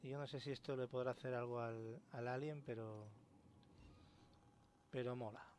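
A man's voice: mid-range voice, fairly even intonation, moderate pace, a little hiss or hum. A man with an accent from Spain giving informal, mildly sweary let's play commentary. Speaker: gaming YouTuber. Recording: faint game ambience behind voice. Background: quiet video game ambience.

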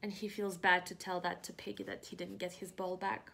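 A woman's voice: fairly low pitch, level tone, a little fast, gravelly, fairly bright tone. A young woman doing a picture-book read-aloud for small children, expressively explaining and asking questions. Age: young adult. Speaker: preschool teacher reading aloud. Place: living room indoors.

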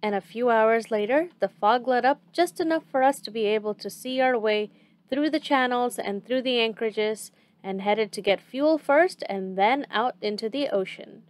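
A woman's voice: fairly high pitch, moderate pace. A woman co-hosting a sailing vlog, talking in an easygoing, wry way. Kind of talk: casual vlog narration. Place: aboard a sailboat.

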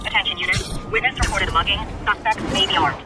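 A man's voice: sits high, fast talker, close to an everyday voice. A man voicing an official police radio dispatcher in a video game, clipped in delivery. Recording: distant voice, loud music behind.

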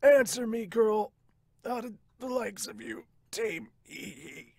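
A man's voice: high voice, takes it slowly, gravelly, a little mellow, husky, no echo, voice pushed up, full character voice. A man voicing a bullying manga gang boss who interrogates captives. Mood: menacing.

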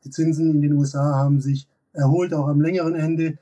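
A man in his thirties giving analytical market commentary as a guest expert, pausing often with fillers and restarts. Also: a little high, little pitch movement, warm tone, recorded from a distance. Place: office with glass wall.

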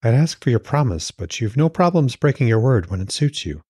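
A man's voice: medium pitch, very animated, fairly quick, mellow, breathy edge, no room sound, studio quality. A man voicing a concerned, frank goddess wife, questioning and pointed, in an audiobook.

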